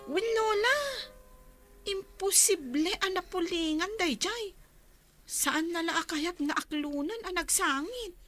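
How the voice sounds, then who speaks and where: A woman's voice: very high-pitched, lively intonation, slow pace, fairly bright tone, soft background music, exaggerated voice. A woman acting in an Ilocano radio drama as a concerned young daughter from a troubled home. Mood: worried.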